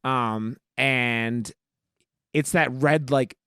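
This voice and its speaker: A man with a clear, smooth voice in a dry, close-sounding recording. He talks casually and emphatically as a podcast co-host, rambling and swearing freely as he goes. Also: medium pitch, extremely slow, a bit bright, no echo. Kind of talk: casual film review chat.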